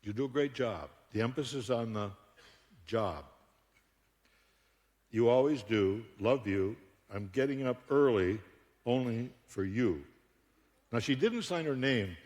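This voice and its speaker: Older guy from buffalo cracking jokes through a sermon, middle-pitched voice, long echo off the church hall. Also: unhurried, long pauses. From Buffalo, New York. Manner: joking, animated storyteller with frequent laughs. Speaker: guest speaker. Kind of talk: humorous sermon. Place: church auditorium.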